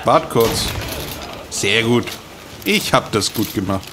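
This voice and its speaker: A man's voice: lowish voice, animated, takes it slowly, fairly bright tone, a little hiss or hum. A man giving casual, dry, joking commentary as he plays a video game. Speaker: let's player commenting on gameplay.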